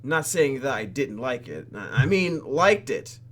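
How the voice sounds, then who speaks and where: A man's voice: medium pitch, big pitch swings, measured pace, pitched above the performer's own voice. A man voicing an awkward, flustered young woman from a visual novel, hammy and stammering. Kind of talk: hammy dialogue reading in a game video.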